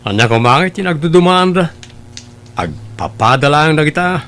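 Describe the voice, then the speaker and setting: A man's voice: on the high side, expressive, normal speed, bright, forceful tone. A man playing a carefree, flippant young womanizer in an audio drama, with an Ilocano accent. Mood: flippant, dismissive. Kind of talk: Ilocano radio-style audio drama acting.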